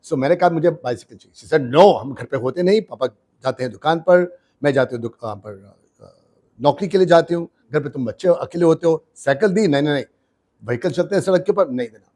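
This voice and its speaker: A man, a business coach telling his life story in an interview, switching between Hindi and English with emphatic, animated delivery. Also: a little high, brisk. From India. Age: 60s. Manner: animated, emphatic, mixes languages.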